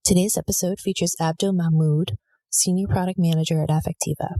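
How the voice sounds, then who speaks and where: A woman's voice: fairly low pitch, steady tone, fairly quick, mellow, no echo, low-quality recording. A woman hosting a podcast, introducing it and asking interview questions. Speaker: podcast host.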